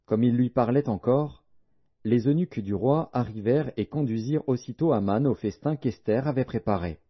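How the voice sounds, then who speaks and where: A man's voice: mid-range voice, normal speed, slightly rough. A man narrating a Bible audiobook in a solemn, scripted reading style. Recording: dull, lo-fi audio.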